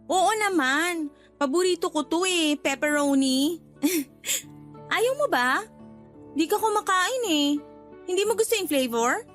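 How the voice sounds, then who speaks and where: A woman's voice: very high-pitched, expressive, slow, long pauses, fairly bright tone, soft background music, full character voice. A woman with a Filipino accent playing a demanding young woman being courted in a radio drama, pouty and prodding. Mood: pleased, coaxing. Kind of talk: radio drama acting in casual dialogue.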